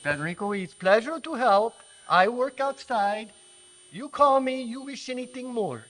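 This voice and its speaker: A man's voice: very high-pitched, animated, unhurried, fairly bright tone, heavily acted. Man as a solemn gardener with swooping Spanish accent. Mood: solemn, servile. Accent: stage Spanish. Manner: formal, broken English. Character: solemn, dutiful native male gardener, eager to serve. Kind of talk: old-time radio acting.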